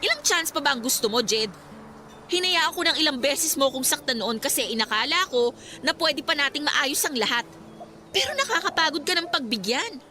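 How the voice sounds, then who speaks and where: Radio drama role: young student, high forceful voice, firm and weary of her ex, faint music bed. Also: lively intonation, brisk. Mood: firm, exasperated. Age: young adult. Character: young female college student, fed up with controlling ex. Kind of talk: Tagalog radio drama acting.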